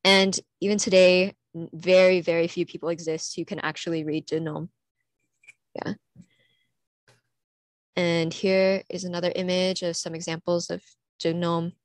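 Teenage girl, from california, low-ish voice, dry close sound, earnest slide talk. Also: flat delivery, dry recording. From California, USA. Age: teens. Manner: earnest, reads from slides with small pauses. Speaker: student presenter. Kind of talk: prepared conference talk. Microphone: computer mic, online conference.

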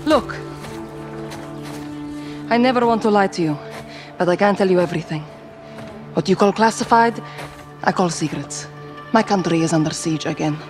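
A woman's voice: on the low side, moderate pace, pauses often, over music, lightly acted. A woman playing a guarded, resolute militia commander, speaking firmly and tersely in a video game cutscene. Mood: earnest, resolute. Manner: firm, guarded, clipped.

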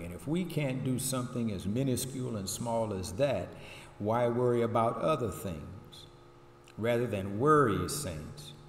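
A man in his sixties or older, a pastor giving a sermon in a measured, emphatic way with pauses, recorded inside a church. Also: medium pitch. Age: 60s or older. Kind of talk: sermon with scripture reading. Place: wood-panelled church sanctuary, indoors. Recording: echoey church hall, steady hum.

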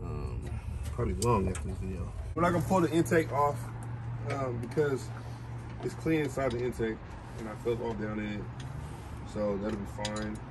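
A man's voice: on the low side, animated, moderate pace. A man hosting a car restoration video, chatting casually and slangily while he works outdoors. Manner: informal, slangy. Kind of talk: casual chat while working. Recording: loud outdoor car yard noise.

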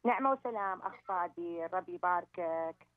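A woman calling in to a prayer program, speaking quickly and thankfully with hesitant fillers. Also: lowish voice, no room sound, narrow, tinny audio. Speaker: caller. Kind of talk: phone-in testimony.

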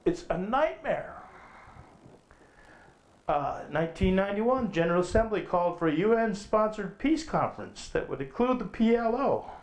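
A middle-aged man preaching from a lectern on stage at a conference, emphatic and full of rhetorical questions, his voice rising when he quotes scripture. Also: high-pitched. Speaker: conference speaker. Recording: echoey hall.

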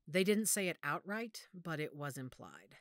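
A woman playing a commanding, calculating werewolf pack mother in an audio drama, deliberate and decisive. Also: low voice, animated, fairly bright tone, no room sound, studio quality. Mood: grim, reasoning.

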